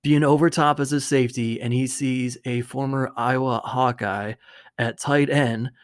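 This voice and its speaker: A man's voice: medium pitch, steady tone, moderate pace. A man hosting a talk show, interviewing casually and presenting to his viewers.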